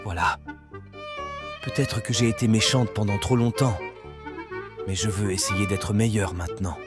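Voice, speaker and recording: A wicked witch from a children's storybook cartoon, acted out by a man, gloomy and lonely. The voice sits low, and music plays clearly behind it. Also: steady tone, moderate pace, close to an everyday voice. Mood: repentant.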